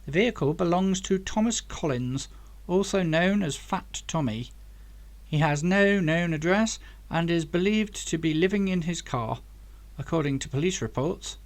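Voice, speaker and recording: A man's voice: high voice, voice pushed up. Man playing helpful, matter-of-fact computer professor. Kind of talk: audiobook character dialogue.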